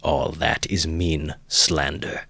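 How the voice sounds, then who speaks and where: A man's voice: rumbling low, flat delivery, slow pace, creaky, bright, forceful tone, slightly rough, no room sound, full character voice. A man voicing a bitter young man who speaks sharply and curtly. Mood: cutting. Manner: cutting and curt.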